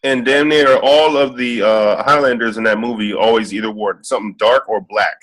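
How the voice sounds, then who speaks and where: A man in a class discussion, adding calm, matter-of-fact remarks. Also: medium pitch, moderate pace, low-quality recording. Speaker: class participant.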